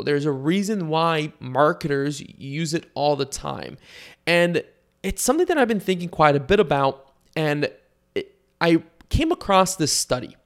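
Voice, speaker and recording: A young man from Bulgaria hosting a solo podcast and talking to his listeners in a relaxed, conversational way. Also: on the high side. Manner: conversational, with fillers and asides to listeners. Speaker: podcast host. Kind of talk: casual solo podcast monologue.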